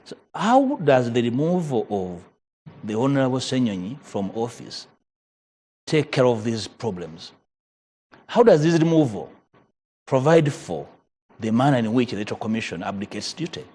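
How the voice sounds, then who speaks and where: A Ugandan man whose first language is Luganda, a member of parliament arguing in a TV panel debate, measured and emphatic. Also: mid-range voice, very animated, darkish tone, slightly rough. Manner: measured, emphatic, rising on key points.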